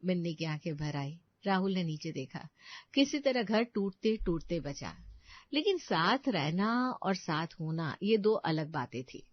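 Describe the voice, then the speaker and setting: Woman narrator, storybook reading, calm, somber, dry close mic, slightly muffled. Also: fairly low pitch, measured pace, no room sound. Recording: dull dry narration track.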